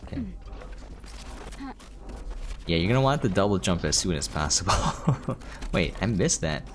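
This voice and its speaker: A man's voice: low-pitched, big pitch swings, unhurried, background noise. A man giving casual gameplay commentary, thinking aloud and trailing off, with game music behind him. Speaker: game streamer. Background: video game music.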